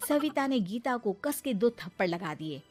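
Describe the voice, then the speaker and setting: A woman delivering scripted narration as the storyteller of an animated tale. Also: a little high, normal speed, faint music behind.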